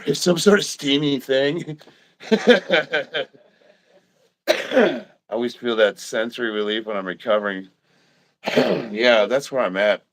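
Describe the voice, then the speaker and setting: A congested man in his fifties, short of breath, chatting casually on a livestream, swearing and often clearing his throat. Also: medium pitch, expressive, slow pace, long pauses. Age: 50s. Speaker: livestreaming powerlifter.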